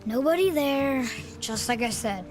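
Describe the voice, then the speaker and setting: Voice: high voice, lively intonation, measured pace, music in the background. A performer playing an earnest, inquisitive young boy in a video game, with an eager, questioning way of talking. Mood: put out. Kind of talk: dramatic game acting.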